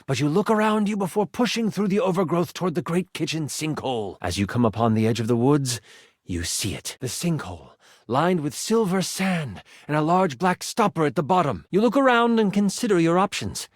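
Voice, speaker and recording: A personified gaming die who runs a fantasy tabletop adventure with dramatic, playful flair. The pitch sits fairly high and swings widely, in a close, dry video game recording. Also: male voice, lively intonation, brisk, no echo. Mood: hushed, atmospheric. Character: excitable male D20 die personified as dramatic game master. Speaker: game master narrator. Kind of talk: theatrical tabletop fantasy storytelling.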